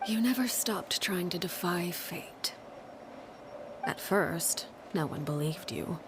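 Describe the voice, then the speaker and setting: A woman voicing a composed, solemn leader in scripted video game dialogue, measured and restrained. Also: fairly low pitch, expressive, noisy background.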